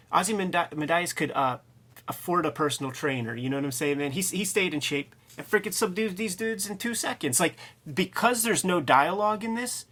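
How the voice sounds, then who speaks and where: A man's voice: on the high side, bright, forceful tone. A man co-hosting a review show in casual, slangy, rambling commentary. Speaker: co-host of a comics review show.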